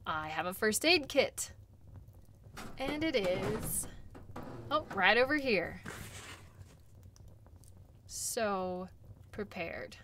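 Female, actress as kind outdoor sled guide for audio role play; gentle, reassuring, conversational, takes her time. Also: mid-range voice, lively intonation, drawn-out words, pauses often, a little hiss or hum. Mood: concerned, reassuring. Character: caring, chatty female dog-sled guide tending wounds.

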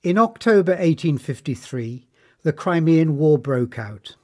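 A man reading a scripted documentary narration in a formal, measured manner. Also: on the high side, expressive.

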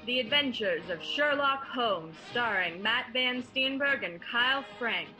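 An actress playing a poised, inviting radio hostess, setting the scene in a measured announcer's manner for a radio drama. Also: female voice, on the high side, animated, over music, low-quality recording.

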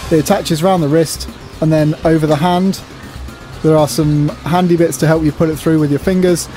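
A man's voice: a little high, dark tone. A middle-aged man narrating an instructional exercise video in a plain, practical, explanatory way. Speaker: presenter demonstrating gym exercises. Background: music.

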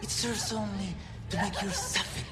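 A woman's voice: mid-range voice, fairly even intonation, very noisy, lightly acted. A woman playing a cruelly courteous aristocratic villain, drawing out mock-polite taunts in a video game. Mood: gloating. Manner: mock-polite, drawn-out, gloating. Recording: loud music under the voice.

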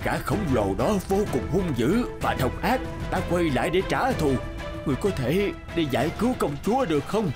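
A man's voice: on the high side, sing-song, normal speed. A man voicing an authoritative, stubborn old king in a fairy-tale cartoon, speaking imperiously. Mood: grave. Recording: music under the voice.

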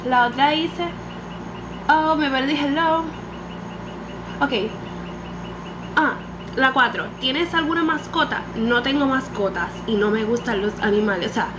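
A nineteen-year-old woman from Puerto Rico with a Puerto Rican accent, chatting animatedly to a webcam and dropping English words into her talk. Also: high-pitched. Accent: Puerto Rican Spanish. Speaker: beauty vlogger. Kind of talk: casual chat to camera. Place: small room indoors. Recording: home webcam recording with background noise.

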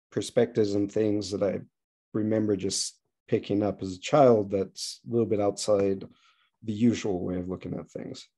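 A man from Israel, a podcast guest on a video call, telling his story in a relaxed way with small restarts. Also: on the low side. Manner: relaxed, self-correcting storyteller. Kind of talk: casual interview.

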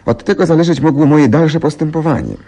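An actor playing a shrewd, self-assured gentleman detective, precise and theatrical, in a radio play. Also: fairly high pitch, brisk. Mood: eager storytelling. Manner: precise, inquisitive, theatrical storytelling.

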